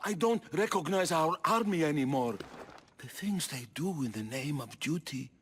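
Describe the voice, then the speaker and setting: An actor playing a desperate, disillusioned commander turned deserter in a video game. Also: male voice, a little high, expressive, normal speed, lightly acted. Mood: bitter. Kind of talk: video game cutscene acting. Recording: clear background noise.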